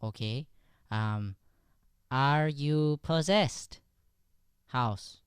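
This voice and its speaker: A man's voice: medium pitch, very slow, clear, resonant tone, no room sound, clean studio sound. A man playing a curious, eager young Irish lad in an improvised radio play, speaking hesitantly and earnestly with an Irish accent. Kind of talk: improvised old-time radio play acting.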